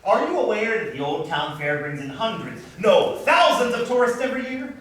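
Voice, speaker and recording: An actor playing a pompous, crooked, bullying town boss in a musical comedy, blustering and browbeating. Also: male voice, high voice, big pitch swings, normal speed, crowd noise. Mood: blustering anger. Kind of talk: musical comedy stage acting. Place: staged reading before a live audience. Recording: echoey live stage, distant mic, piano.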